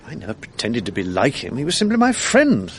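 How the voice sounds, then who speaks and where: A man's voice: medium pitch, huge pitch swings, brisk. An actor playing a defensive, irritable young man in a 1980s radio drama. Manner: sharp, irritable.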